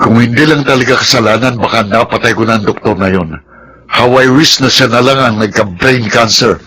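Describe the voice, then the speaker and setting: A man's voice: medium pitch, fairly quick, over music, natural voice, low-quality recording. A man playing an aggrieved, hot-tempered patient in a radio drama, speaking emphatically. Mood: bitter. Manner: forceful, emphatic.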